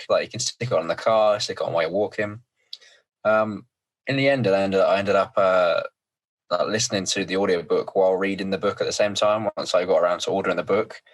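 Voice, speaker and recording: A man hosting a podcast, a little croaky, talking enthusiastically with frequent ums. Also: low voice, a bit bright. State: slightly croaky voice. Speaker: podcast host. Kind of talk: casual podcast chat.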